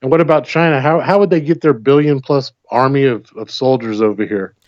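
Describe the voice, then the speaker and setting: Slightly dull, dry call audio carries a man from the United States with a medium-pitched voice. He chats casually as a guest, pushing his opinions through rhetorical questions and quick check-ins with the listener. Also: a little mellow, no echo. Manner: pressing points with rhetorical questions.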